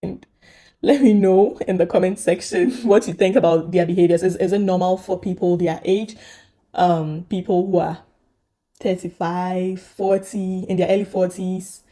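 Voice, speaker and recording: Mellow, low-voiced woman hosting a vlog. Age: young adult to middle-aged, millennial. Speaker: host. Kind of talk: conversational video commentary to viewers.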